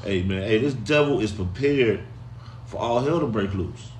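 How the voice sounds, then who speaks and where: A man's voice: fairly low pitch, animated, moderate pace, recorded from a distance, a little hiss or hum. A man with an American accent giving informal, exhorting religious talk, colloquial and peppered with short interjections that check on his listeners. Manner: colloquial, punctuates with "man", "hey" and "all right". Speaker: speaker leading a religious open forum.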